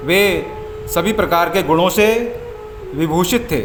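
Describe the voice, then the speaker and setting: High-pitched echoey male devotional storyteller. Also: measured pace. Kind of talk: scripted religious story narration. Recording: echoey voice over background music. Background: background music.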